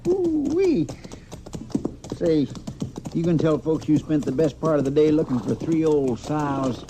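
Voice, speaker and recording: A man's voice: a little high, big pitch swings, unhurried. A noisy old radio western features a frontier deputy sidekick. The man has a folksy rural American Western accent. He grumbles and complains in a comic way. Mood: grumbling.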